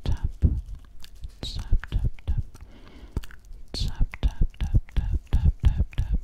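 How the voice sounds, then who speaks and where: A young woman doing ASMR personal attention in a breathy, soothing whisper, very close to the microphone, often repeating soft words. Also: dull audio. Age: young adult. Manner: slow, soothing, repeating words and mouth sounds. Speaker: ASMR host. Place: small room, indoors. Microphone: close-up microphone right at the mouth.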